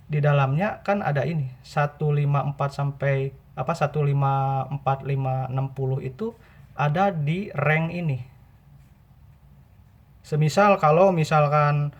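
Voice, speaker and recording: A man's voice: on the high side, highs cut off. A man gives an informal tutorial, explaining patiently by repeating and rephrasing his points. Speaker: presenter giving buying tips.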